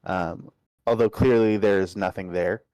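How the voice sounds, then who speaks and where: A man's voice: low voice, steady tone, moderate pace, a little mellow, dry recording, lo-fi sound. A man taking part in a tabletop role-playing game, casually describing what his character does. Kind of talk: casual first-person role-play chat.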